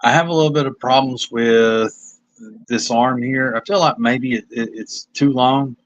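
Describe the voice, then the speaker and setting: A man's voice: mid-range voice, steady tone, moderate pace, dry recording. Rambling Kentucky man on a muffled phone. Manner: rambling, self-critical, hesitant. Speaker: comic artist. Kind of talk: casual livestream commentary.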